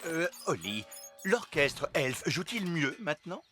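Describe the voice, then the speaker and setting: A man's voice: fairly high pitch, sing-song, measured pace, loud music behind the voice. A man playing a cheerful, self-assured fairy king and father in a French cartoon, breezy and confident. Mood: pleased.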